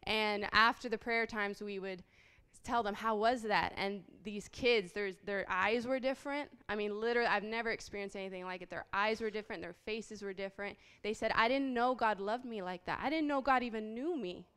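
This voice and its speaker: A woman's voice: mid-range voice, a little fast, fairly bright tone, clean tone. A woman in her late twenties, from Haiti, telling a heartfelt personal testimony as a guest speaker, earnest and full of small ums. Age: late 20s.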